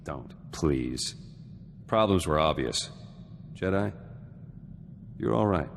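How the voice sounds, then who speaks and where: An actor playing a stoic, self-critical warrior in a video game, speaking in clipped, terse phrases. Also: male voice, a bass voice, expressive, slow, vocal fry, in a large hall, a little hiss or hum, heavily acted. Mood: stoic, gruff. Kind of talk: video game cutscene dialogue.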